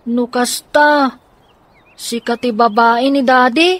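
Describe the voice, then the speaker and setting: A woman's voice: a little high, fairly even intonation, takes it slowly, fairly bright tone, a little hiss or hum. A woman playing an upset, earnest child in a radio drama, plaintive and insistent.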